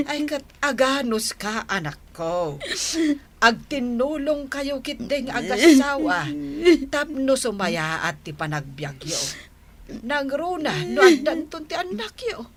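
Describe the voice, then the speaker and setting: Concerned filipino mom, highish voice, really drawn out n pleading, radio drama. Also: female voice. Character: concerned middle-aged mother, earnestly advising her daughter. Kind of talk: Ilocano radio drama acting.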